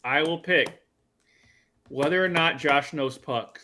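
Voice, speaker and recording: A man co-hosts a casual sports show, his voice bright and forward with a slightly high pitch. He jokes and slips into animated impressions as he talks. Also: takes it slowly. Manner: joking, animated, acts out quotes. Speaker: podcast co-host.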